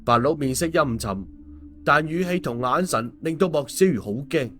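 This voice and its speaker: A man narrates an audiobook in speech, his voice set a little high. He tells the story as a scripted reading, with faint music underneath. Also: expressive, moderate pace, fairly bright tone.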